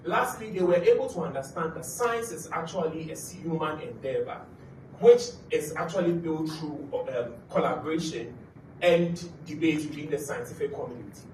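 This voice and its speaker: A man, a graduate student, presenting his thesis in an earnest, measured way at a competition. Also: on the high side, roomy sound, recorded from a distance, slight background noise, low-quality recording. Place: auditorium.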